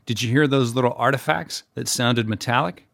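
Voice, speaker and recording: A man's voice: medium pitch, fairly quick, vocal fry, fairly bright tone, no echo, studio quality. A man giving a scripted how-to talk as a podcast guest, in a wry, plainspoken way. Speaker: guest audio editor and podcast narrator.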